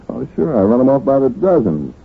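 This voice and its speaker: An actor playing a self-assured, sardonic detective doctor in a 1940s radio drama. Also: male voice, medium pitch, very animated, normal speed, gravelly, husky, exaggerated voice. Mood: sarcastic, annoyed. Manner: sardonic, teasing. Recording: tinny, hissy old radio broadcast.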